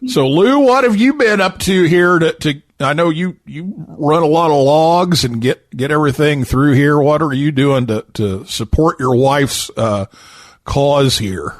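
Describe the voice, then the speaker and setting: A male host speaks slowly and unhurriedly, peppering his talk with "uh" and light jokes. The recording is dry and close with no room sound. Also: on the high side, dry recording. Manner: hesitant, many fillers, joking. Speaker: show host. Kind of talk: casual interview.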